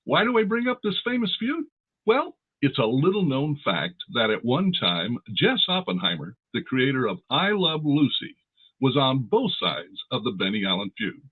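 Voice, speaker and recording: Husky, animated old-time radio announcer. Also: male voice, a little high, moderate pace, narrow, tinny audio. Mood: storytelling. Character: old-time radio announcer telling show-business history. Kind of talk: scripted radio narration.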